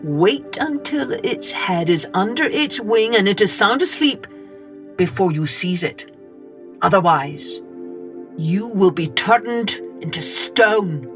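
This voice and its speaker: A woman voicing a kindly little old man in a children's storybook, giving cautioning, measured guidance. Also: mid-range voice, animated, music in the background.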